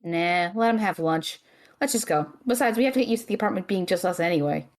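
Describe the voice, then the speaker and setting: A woman acting a tech-savvy, cautious and bossy young student mage in a fantasy comedy audio drama, with a dry, exasperated, brisk manner. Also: on the low side, animated. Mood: dry, practical.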